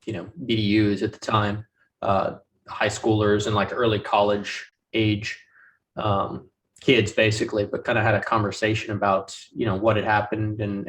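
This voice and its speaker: A man in his thirties, a veteran guest, speaking casually and haltingly with many ums and restarts. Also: lowish voice. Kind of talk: casual podcast conversation. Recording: voice distant from the mic.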